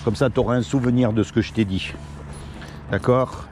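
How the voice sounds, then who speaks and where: A man's voice: medium pitch, steady tone, normal speed, a little mellow, faint music behind. A man from Morocco doing casual one-on-one street preaching, earnest and pleading, full of everyday examples. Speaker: street evangelist. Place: outdoors on a city street.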